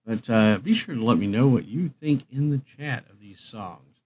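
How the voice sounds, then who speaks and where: A man's voice: mid-range voice, lively intonation, normal speed, darkish tone. A man hosting a live stream, chatting casually to viewers in a rambling, folksy way.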